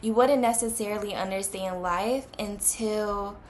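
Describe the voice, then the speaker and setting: Young woman, twenty, casual vlog chatter, filler-heavy, mid pitch, faint hiss behind. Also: a little slow. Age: 20s. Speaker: vlogger. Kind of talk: casual talk to camera.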